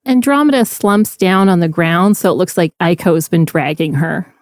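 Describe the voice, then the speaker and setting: A woman playing a tabletop game, narrating her character's actions in a descriptive, measured and dramatic manner. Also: on the low side, no echo, clean studio sound.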